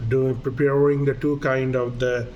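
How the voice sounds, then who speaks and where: A man's voice: mid-range voice, little pitch movement, unhurried, a little mellow, highs cut off, slight background noise. A man whose first language is Urdu, a doctor giving a medical video lesson in an earnest, hesitant way, with fillers and repeated phrases. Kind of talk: medical lecture.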